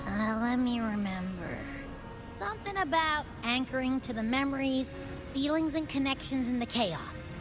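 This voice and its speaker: An actress playing a plucky, chatty, easily spooked young companion in a video game, with an expressive, casual delivery. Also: female voice, sits high, moderate pace, music in the background. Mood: uneasy, curious. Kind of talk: animated video game acting.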